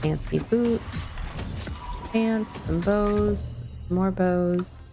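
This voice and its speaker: A woman's voice: medium pitch, very slow, creaky. A woman streaming a game with casual chat, musing to herself in short fragments over music. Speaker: streamer playing an online fantasy game. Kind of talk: casual gameplay chat, thinking aloud.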